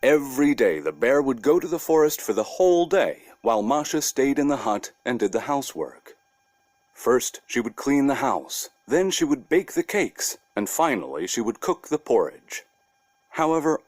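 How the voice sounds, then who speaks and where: A man narrating a scripted children's story as a storyteller, expressive and deliberate in his wording. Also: mid-range voice, no echo.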